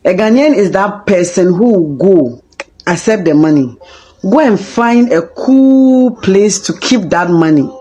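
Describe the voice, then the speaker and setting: A woman's voice: mid-range voice, mellow. A woman giving a casual, emphatic opinion monologue, repeating her points for effect.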